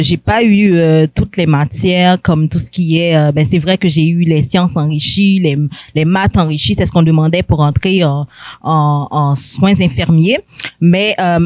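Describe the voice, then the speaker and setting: A woman's voice: lowish voice, a little mellow, no echo. A young woman from Haiti, a guest telling her story in a hesitant way, with many fillers. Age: young adult. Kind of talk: hesitant personal testimony.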